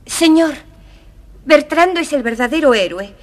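An actress playing an earnest, brave young princess in a children's audio storybook, speaking sincerely and declaiming a little. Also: female voice, sits high, lively intonation, unhurried. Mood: resolute.

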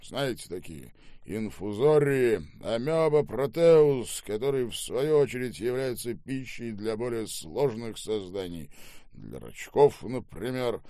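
Man playing a tipsy, lecturing, conciliatory gentleman. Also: mid-range voice, animated, measured pace, no echo, clean studio sound, pitched above the performer's own voice. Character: tipsy, reasonable man, mildly pompous and conciliatory. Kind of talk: audiobook character voice.

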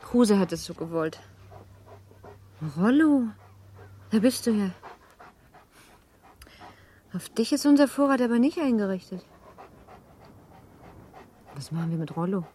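A woman's voice: medium pitch, animated, a little slow, long pauses, warm tone, slightly rough. An actress playing a teasing young married noblewoman in a German literary radio drama, genteel and coquettish. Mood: polite, playful.

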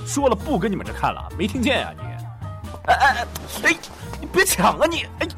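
An actor playing an excitable, distrustful dog owner in a modern audio drama, blustering and argumentative. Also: male voice, sits high, a little fast, heavily acted. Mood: indignant. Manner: blustering, foul-mouthed, argumentative. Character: excitable, distrustful male dog owner, devoted to his pet. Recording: studio drama with music behind.

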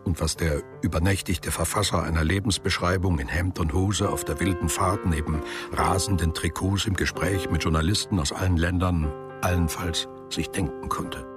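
A man's voice: low voice, steady tone, moderate pace, few pauses, music in the background. A man reading literary prose as the scripted narrator of a radio drama.

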